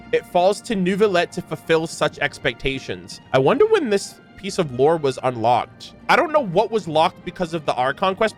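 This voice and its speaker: A man's voice: a little high, a bit bright. A man giving casual, enthusiastic reaction commentary in informal slang, mixed with reading game lore aloud. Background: background music.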